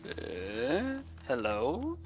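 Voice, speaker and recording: A man's voice: medium pitch, huge pitch swings, drawn-out words, dull audio, faint music behind. A man livestreaming casual game commentary in a sarcastic, joking manner.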